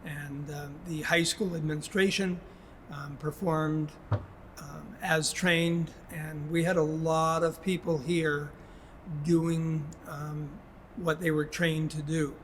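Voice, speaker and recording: A man with a fairly high voice gives a formal address as a school official. He speaks very slowly and haltingly, choosing his words with care. There is faint noise behind him. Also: level tone, fairly bright tone. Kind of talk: public address, prepared statement spoken haltingly.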